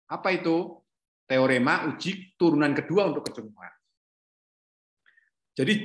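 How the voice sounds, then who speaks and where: A man's voice: on the high side, pushed and bright. A middle-aged man teaching a calculus lesson online, asking questions and correcting himself as he goes. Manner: questioning, self-correcting, repeats "ya". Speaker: lecturer. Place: home room, not office. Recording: echoey room.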